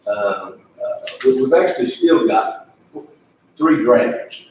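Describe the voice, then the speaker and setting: A man presides over an informal meeting. He talks in a folksy, halting way and circles back over his words. His voice is distant from the microphone, in a strongly echoing room with faint noise. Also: mid-range voice, expressive, darkish tone. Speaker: judge presiding over county commissioners meeting. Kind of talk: informal public meeting discussion.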